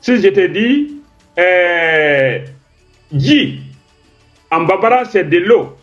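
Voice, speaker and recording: A man's voice: high voice, animated, extremely slow, a bit bright, dull audio, faint music behind. A man calling into a live debate, pressing his question insistently and repeating polite requests.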